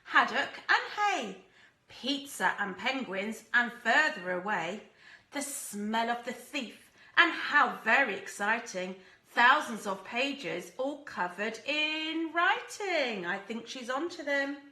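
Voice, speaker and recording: A woman teacher reading a rhyming storybook aloud to young children in an expressive, deliberate way. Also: a little high, roomy sound, poor audio. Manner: animated, deliberate, acts out characters and asks listeners questions.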